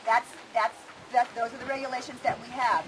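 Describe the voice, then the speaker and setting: A middle-aged woman gives an informal demonstration talk in an open grassy park. Her voice sits a little high. Outdoor ambient noise is clearly heard around her. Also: steady tone, a little fast. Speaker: plant educator leading a walking tour.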